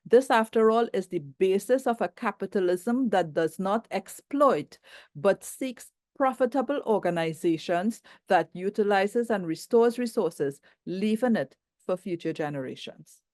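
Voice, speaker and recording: A woman hosting a governance event, giving a formal, measured introduction of the keynote speaker. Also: mid-range voice, expressive, darkish tone, no room sound. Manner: measured, formal, reading from notes. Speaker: event host and moderator.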